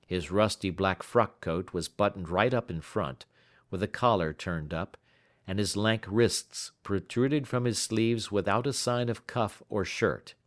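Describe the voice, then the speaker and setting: A man's voice: on the low side, a little slow. A man reading a literary story aloud as scripted audiobook narration. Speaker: audiobook reader.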